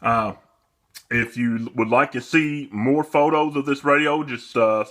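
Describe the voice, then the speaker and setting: A man's voice: medium pitch, unhurried, a bit bright. A man giving a casual, plain-spoken and somewhat hesitant demonstration of a product. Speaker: presenter demonstrating a radio for sale.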